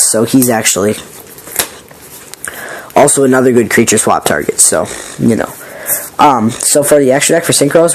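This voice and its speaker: Sick man with a raspy voice. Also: medium pitch, a little mellow, slight background noise, poor audio. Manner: rambling, filler-heavy, apologetic. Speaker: card game deck presenter. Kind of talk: casual deck walkthrough.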